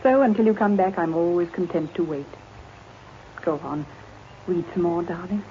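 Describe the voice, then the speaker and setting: An actress playing a mild, contented, devoted wife in a 1950s radio drama. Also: female voice, on the low side, moderate pace, dark tone, close to an everyday voice. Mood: tender, content. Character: placid, devoted, simple wife. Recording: muffled, noisy old radio recording.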